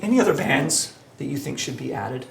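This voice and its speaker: A man hosting a live-stream debate show, reading out viewer comments in an energetic, joking way, his voice a little hoarse. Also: mid-range voice, brisk, a little hiss or hum. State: voice going a bit hoarse. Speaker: host.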